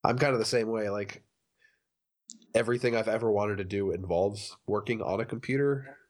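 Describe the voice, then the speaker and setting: A man's voice: fairly low pitch, normal speed. A man co-hosting a casual podcast, playfully putting questions to his friends. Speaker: podcast co-host asking the questions. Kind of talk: casual chat.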